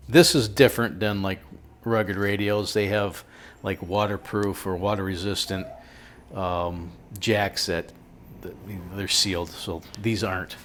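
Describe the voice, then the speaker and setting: A man's voice: lowish voice, fairly bright tone, slight background noise. A middle-aged American man chatting casually through a product review in a folksy, jokey, storytelling way. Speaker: host of a product review video.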